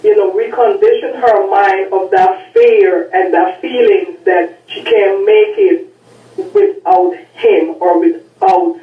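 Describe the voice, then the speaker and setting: Slow, lively-pitched hesitant woman. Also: echoey room, recorded from a distance, phone-quality sound. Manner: hesitant, many fillers. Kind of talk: casual talk show chat.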